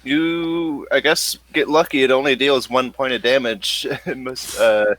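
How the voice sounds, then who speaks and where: Guy game mastering a tabletop rpg, regular mid voice, kinda halting, making it up as he goes. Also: mid-range voice, steady tone, fairly bright tone. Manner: halting, improvised narration. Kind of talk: narrating a tabletop role-playing game.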